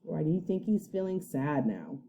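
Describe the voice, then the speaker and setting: Animated woman reading a children's story. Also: low-pitched. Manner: expressive, pauses to ask listeners questions. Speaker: first grade teacher. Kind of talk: children's story read-aloud.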